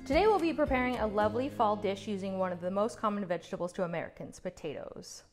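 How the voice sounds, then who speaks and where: A woman narrates a cooking lesson, walking through each step in a calm, scripted voice-over. Her pitch sits in the middle, and light music plays quietly beneath her. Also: fast talker. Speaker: cooking instructor. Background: soft background music.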